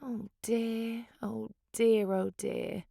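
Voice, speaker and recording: A woman's voice: fairly high pitch, little pitch movement, drawn-out words, vocal fry, dark tone, no room sound, clean studio sound, voice pushed up, exaggerated voice. A woman voicing a sweet, friendly little girl dinosaur in a children's storybook, with an eager, exclaiming manner. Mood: worried.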